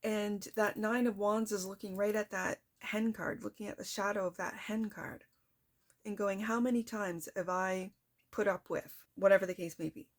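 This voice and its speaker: A soft-spoken woman hosting a casual tarot reading, talking straight to her viewers in a chatty, reflective way. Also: mid-range voice, fairly even intonation, moderate pace. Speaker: tarot reader.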